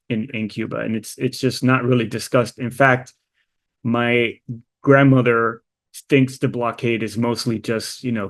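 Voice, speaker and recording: A man from Miami speaking as a panel guest, often restarting and repeating words as he searches for phrasing. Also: mid-range voice. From Miami, United States. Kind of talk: panel discussion answer.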